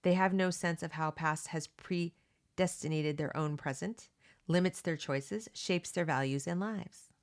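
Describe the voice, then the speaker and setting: A woman's voice: on the low side, measured pace. A middle-aged woman, a homeschooling parent, reading a book aloud and breaking off into earnest, opinionated asides, often checking in with "okay?" and "you know.". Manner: reads passages then breaks off into emphatic asides. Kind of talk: read-along with conversational commentary.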